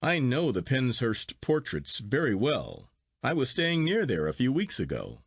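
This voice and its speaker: A man voicing a curious, self-assured younger gentleman in a storybook reading, exclamatory and inquisitive. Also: mid-range voice, wildly swooping pitch, measured pace, husky, no room sound, clean studio sound, heavily acted. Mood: confident. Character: eager, curious younger gentleman, excitable and sure of himself. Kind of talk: acted dialogue in storybook reading.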